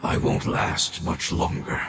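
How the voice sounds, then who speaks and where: An actor playing a dying ancient male guardian, speaking in a whispery, breathy, grave and measured way. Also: lightly acted. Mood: weary, solemn. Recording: echoey room with game music.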